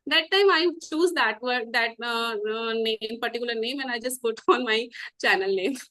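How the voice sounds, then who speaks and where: High, bright North Indian woman learning English. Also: no room sound. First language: Hindi. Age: 30s. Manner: halting learner's English, giggly. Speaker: guest, English-learning YouTuber. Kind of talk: casual conversational interview.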